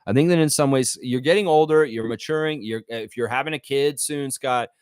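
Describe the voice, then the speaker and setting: A man's voice: mid-range voice, fairly quick, clear, resonant tone, dry recording, studio quality. A man hosting a live sports fan chat, talking informally and conversationally as he thinks aloud to his viewers.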